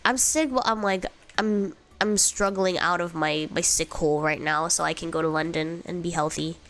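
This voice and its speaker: A woman's voice: on the low side, normal speed, a bit bright. A young woman streamer with a congested, slightly sick voice, chatting casually and rambling through comments with lots of fillers and giggles. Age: young adult. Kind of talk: casual chat reading viewer comments.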